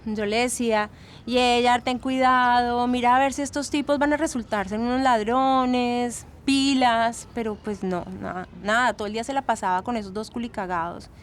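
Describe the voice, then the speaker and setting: A woman's voice: a little high, steady tone, moderate pace, lightly acted. An actress playing a frank, protective young Colombian woman giving casual testimony in an audio drama, dropping in English phrases. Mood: doubtful, disapproving. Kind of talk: documentary-style testimony, scripted audio drama. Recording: voice over faint ambient noise.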